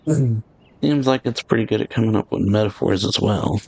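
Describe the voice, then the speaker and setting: A man giving casual, low-key reactions as a let's play streamer, in short murmured remarks. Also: a little fast, gravelly, a little mellow, husky. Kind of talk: casual game reaction commentary. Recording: game music behind commentary.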